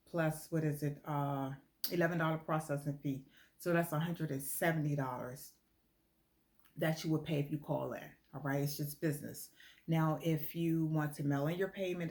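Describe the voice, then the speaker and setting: A woman's voice: sits low, darkish tone. An American woman talking informally in a promotional video, explaining earnestly and repeating points for emphasis. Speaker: lottery club promoter.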